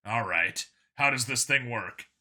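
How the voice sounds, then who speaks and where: A narrator voicing a gruff, wary human man, a cop with a dry, casual, muttering delivery in a sci-fi audiobook. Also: mid-range voice, a little slow, bright, forceful tone, pitched below the performer's own voice. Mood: curt.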